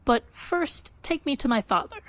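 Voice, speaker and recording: An actress playing a self-assured, commanding high-born woman who speaks formally in an audio drama. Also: expressive, moderate pace, a bit bright, no echo.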